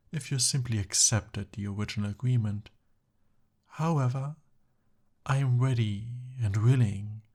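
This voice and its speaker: Slow, husky young prince, acted by a man. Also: mid-range voice, darkish tone. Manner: formal, courtly, hesitant flowery speech. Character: young royal prince, captive of a dragon, smooth-talking and eager. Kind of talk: ASMR audio roleplay.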